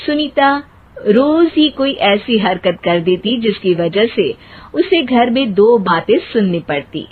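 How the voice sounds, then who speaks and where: A woman's voice: medium pitch, lively intonation, slow, husky. A woman narrating a scripted children's cartoon story. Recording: muffled, narrow phone-like sound.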